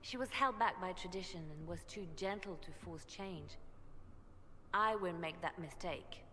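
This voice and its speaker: An actress playing an idealistic woman spymaster who argues for reform with measured, persuasive conviction in a video game. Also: lowish voice, pushed and bright. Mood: impassioned, persuasive. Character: idealistic, reform-minded woman spymaster seeking high church office. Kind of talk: scripted video game dialogue. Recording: long hall reverb, faint noise and ambience.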